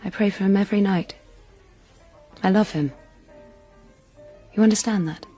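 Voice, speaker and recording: A woman's voice: mid-range voice, normal speed, mellow, slightly rough, lightly acted. An actress playing a wistful, romantic young woman in a radio drama, with a dreamy, dramatic storytelling manner. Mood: earnest devotion. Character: romantic, wistful young woman, stage performer with smuggler brother. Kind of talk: intimate radio drama acting. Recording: radio drama with music underneath.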